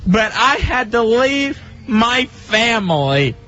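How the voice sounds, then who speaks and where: A man's voice: extremely high pitch, big pitch swings, takes it slowly, pushed and bright. An upset man in an emotional on-air rant, working as a radio co-host. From Florida, United States. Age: 40s. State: upset, distraught. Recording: dull lo-fi radio clip, music behind.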